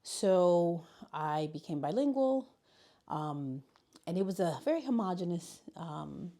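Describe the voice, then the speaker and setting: A woman from New York City, a high school learning specialist, reflecting on her path into teaching in an interview, with frequent restarts and fillers. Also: animated, slow pace, warm tone. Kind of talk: interview conversation.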